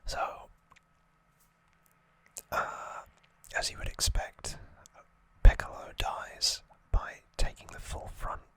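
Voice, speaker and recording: An ASMR host speaks entirely in a breathy whisper, taking things slowly. The sound is bright and sibilant, close on the microphone. The phrasing is halting and rambling, with small self-corrections.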